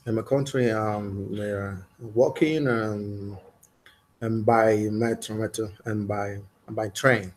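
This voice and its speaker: A man with a Colombian Spanish accent answering exam questions in halting English, hesitant, with many restarts, over a video call. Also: fairly low pitch, steady tone. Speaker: police officer, exam candidate. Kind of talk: halting second-language answers.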